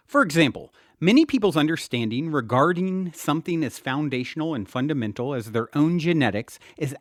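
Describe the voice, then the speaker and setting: Man, audiobook narrator, lively varied intonation, explanatory, slightly wry, dry studio-like sound. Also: a little high, no echo. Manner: expressive, explanatory delivery with wry asides. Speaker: author and podcast host reading his own book.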